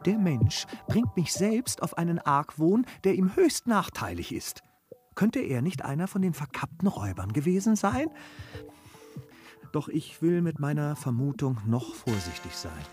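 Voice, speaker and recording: An actor playing a scrupulous, formally spoken gentleman traveller in a classical German comedy for radio, hesitant and deliberating. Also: fairly high pitch, very animated, unhurried, soft background music. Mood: uneasy, pondering. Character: cautious, scrupulous gentleman traveller of noble manners.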